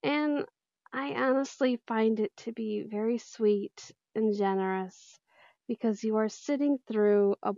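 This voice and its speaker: A friendly woman with a slightly high voice talks casually to her viewers. She takes her time, and the recording is dry and a little dull. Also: darkish tone, no echo. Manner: friendly, grateful, chatty. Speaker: channel host and voice actor. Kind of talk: casual outro chat to viewers.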